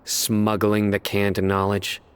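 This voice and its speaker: A man voicing a stern, stoic young investigator in a video game, speaking gravely and tersely. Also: lowish voice, monotone, takes it slowly.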